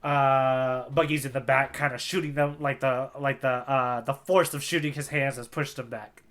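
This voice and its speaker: A man's voice: mid-range voice, fairly even intonation, normal speed, fairly bright tone. A man co-hosting a podcast, chatting in a casual, jokey way about comics. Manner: jokey, playful. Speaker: podcast co-host. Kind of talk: casual chat about manga panels.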